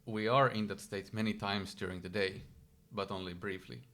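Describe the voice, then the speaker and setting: Finnish guy, swedish is his first language, lowish voice, explains stuff patiently with little restarts. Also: fairly even intonation, normal speed, a bit bright. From Finland. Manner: thoughtful, explains step by step with small restarts. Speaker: yoga expert guest. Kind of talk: casual explanatory conversation.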